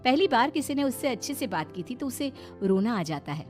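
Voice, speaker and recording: A woman narrating a moral story in an expressive, scripted storytelling style. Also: fairly high pitch, a little fast, soft background music.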